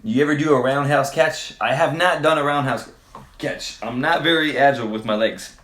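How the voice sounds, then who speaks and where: A man's voice: mid-range voice, moderate pace, a bit bright. Easygoing man from Quebec, roomy sound. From Quebec, Canada. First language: French. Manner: loose and hesitant, many fillers and swearing. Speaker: livestream host. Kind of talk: casual livestream Q&A answering chat. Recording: roomy echo.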